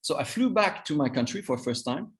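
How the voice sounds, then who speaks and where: A man in his fifties with a French accent, a native Vietnamese speaker, giving an informal training talk as a coach, haltingly and repeating words for emphasis. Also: a little high, animated. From Vietnam and France. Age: 50s. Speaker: cross-cultural trainer and coach.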